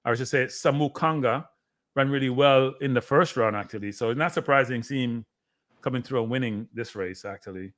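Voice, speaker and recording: A man's voice: mid-range voice, brisk, fairly bright tone. A man hosting a watchalong, giving casual live sports commentary. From Jamaica.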